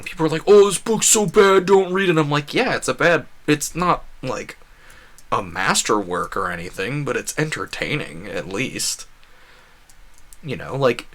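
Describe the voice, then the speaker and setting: A man's voice: medium pitch, a bit bright. A man hosting a gaming livestream, rambling casually and earnestly, giving opinions and acting out imagined quotes. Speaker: livestream host.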